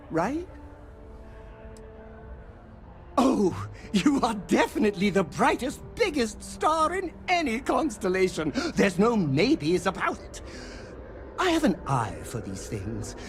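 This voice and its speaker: A woman's voice: a little high, heavily acted. An actress playing an obsessive, theatrically adoring female superfan of a space hero in a video game cutscene. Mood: starstruck gushing. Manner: theatrical, breathless adoration, swooping from shouts to drawn-out awe. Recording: music behind the voice.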